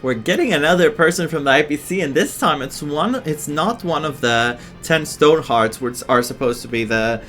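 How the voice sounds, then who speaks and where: A man's voice: fairly high pitch, moderate pace, few pauses, a bit bright, soft background music. A man giving casual, amused, reactive commentary as he plays through a video game. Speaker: gaming streamer.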